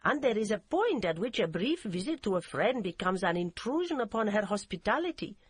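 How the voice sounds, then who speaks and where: A woman voicing a grave, solemn father who speaks in formal, measured sentences. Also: mid-range voice, big pitch swings, husky, no room sound, clean studio sound.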